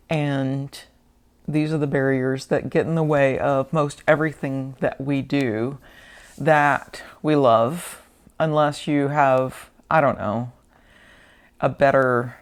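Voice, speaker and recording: A teacher with a gravelly voice, talking through a casual, unscripted book vlog at home, thoughtful and rambling with restarts and pauses. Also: mid-range voice. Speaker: book vlogger. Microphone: wearing a mic.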